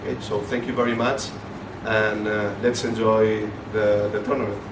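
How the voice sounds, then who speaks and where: Halting man speaking in an echoey hall. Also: lowish voice, level tone. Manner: halting, many fillers and restarts. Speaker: football league representative. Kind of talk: event speech. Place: hotel function room. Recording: echoey room from far off, noisy.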